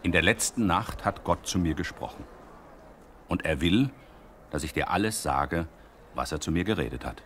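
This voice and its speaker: An actor playing a solemn prophet who relays God's words in measured proclamation in an audio drama. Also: male voice, sits low, lively intonation, a bit bright, slight background noise. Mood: grave. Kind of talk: audio drama acting, declamatory.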